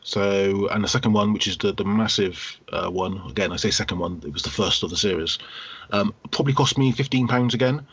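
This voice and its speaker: Male podcast co-host, husky low voice, explains hesitantly with repeated phrases, slightly dull lo-fi sound. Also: brisk, fairly bright tone. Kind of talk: casual podcast discussion of video games. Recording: dull, lo-fi voice recording.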